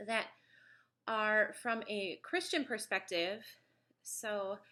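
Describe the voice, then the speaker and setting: Woman, 30s, bright voice, slightly sniffly, chatty reviewer with lots of ums. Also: a little high. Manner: chatty, frequent ums, pages through a book. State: slight cold, sniffly, occasional cough. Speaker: homeschool product reviewer. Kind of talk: casual video review.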